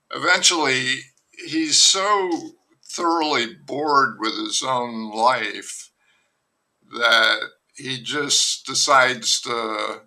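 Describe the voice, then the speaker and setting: An older man, a novelist guest, talking in a rambling, wry way with many fillers and restarts in a relaxed podcast conversation. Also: mid-range voice, creaky, poor audio.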